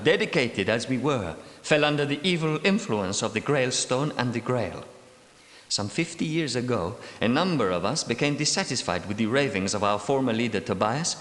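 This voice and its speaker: In a cavernous, echoing space, a cunning Grail knight for a video game. He speaks in formal, old-fashioned phrases with expressive swings of pitch, a timid man who is secretly scheming. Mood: nervous, guarded. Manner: formal, archaic, measured diction. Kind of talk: theatrical video game character dialogue. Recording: echoey hall with long reverb.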